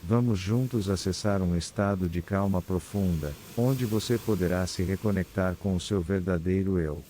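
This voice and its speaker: A man with a Brazilian accent guides a scripted meditation in a calm, soothing, unhurried manner with long pauses. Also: low voice, a little hiss or hum. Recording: clear background ambience of ocean waves. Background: sea waves ambience.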